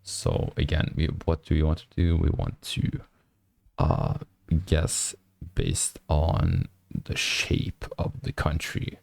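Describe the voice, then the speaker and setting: A young man with a hoarse, nearly lost voice, mumbling through a late-night coding stream with many fillers. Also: extremely low pitch, completely flat delivery, slow, dry recording. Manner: speaks quietly to avoid disturbing neighbors. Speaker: programming streamer. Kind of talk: casual coding stream commentary. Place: small apartment room at night.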